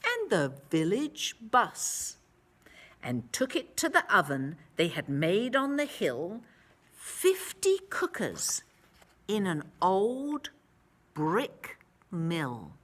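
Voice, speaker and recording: A woman reading a picture book aloud to children, expressively, with dramatic emphasis and drawn-out words. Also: mid-range voice, huge pitch swings.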